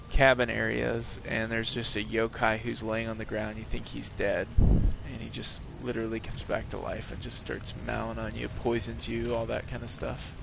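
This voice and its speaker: Hoarse guy streaming a game, real gravelly voice, steady hiss behind him. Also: mid-range voice. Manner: chatty, explains game to a viewer. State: hoarse from recent illness. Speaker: gaming streamer. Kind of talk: casual live gameplay commentary.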